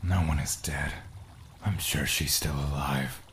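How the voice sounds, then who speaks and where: Audio drama role: stoic male companion, voiced by an actor, extremely low, terse, faint ambient noise. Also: measured pace, fairly bright tone. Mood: blunt, stoic. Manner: blunt, terse.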